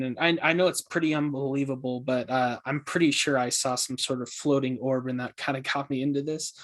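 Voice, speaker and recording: A man's voice: medium pitch, natural voice. A man playing an earnest podcast host in an audio drama, talking casually and a bit hesitantly. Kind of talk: casual scripted podcast interview.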